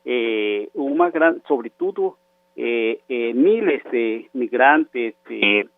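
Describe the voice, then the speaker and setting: Slow-spoken Venezuelan man on a phone line. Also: mid-range voice, expressive, dry recording. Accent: Venezuelan Spanish. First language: Spanish. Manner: halting, searching for words. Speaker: professor, interview guest. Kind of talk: explanatory interview answers. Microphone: phone call.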